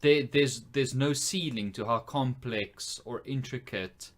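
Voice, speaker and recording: A man's voice: mid-range voice, unhurried. A man co-hosting a casual film podcast, thinking aloud in an informal, tentative way. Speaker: podcast co-host.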